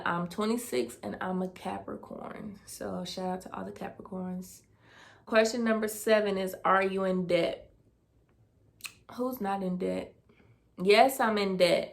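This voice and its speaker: A woman's voice: mid-range voice, fairly even intonation, slow pace, gravelly. A woman, casually answering personal questions on her vlog, thinking aloud with fillers. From Dayton, Ohio, US. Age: 20s. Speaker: vlogger. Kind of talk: casual Q&A tag video.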